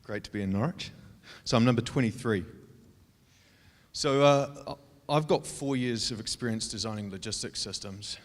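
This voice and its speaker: A man with a New Zealand accent giving a short, upbeat startup pitch to a crowd. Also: normal speed. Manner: loud and upbeat, addressing a crowd. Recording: long hall reverb.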